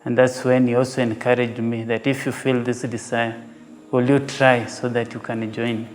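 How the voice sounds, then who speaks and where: Flat-voiced young man. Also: mid-range voice, moderate pace. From Kenya. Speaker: seminarian. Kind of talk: personal vocation testimony. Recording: reverberant, with guitar music behind.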